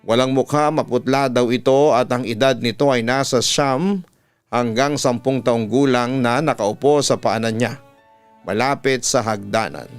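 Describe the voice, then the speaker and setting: A man's voice: medium pitch, steady tone, a little slow, clean tone, no room sound. A man with a Filipino accent reading a listener's horror letter aloud as a storytelling host. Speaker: host and narrator of a listener-story channel, radio announcer. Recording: music bed behind the voice.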